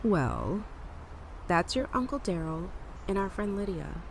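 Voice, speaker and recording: A woman playing a kindly, playful family friend in an audio drama, talking gently as if to a child, with a creaky voice. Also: lowish voice, very animated, a little slow, a little hiss or hum, exaggerated voice. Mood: gentle. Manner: gentle, simple talk as to a child.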